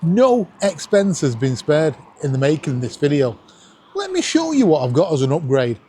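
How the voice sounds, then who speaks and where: A Northern English man hosts a casual vlog with a very lively, swinging pitch. His voice has a husky edge, and his manner is jokey and self-deprecating. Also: moderate pace. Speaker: vlog host. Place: outdoors at night on a seafront promenade. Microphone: camera worn around the neck.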